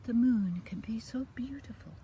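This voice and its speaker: A woman's voice: a little high, moderate pace, dark tone, a little hiss or hum, pitched above the performer's own voice, close to an everyday voice. A woman voicing a sensitive, clumsy male giraffe in a storybook, speaking earnestly and from the heart. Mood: wistful.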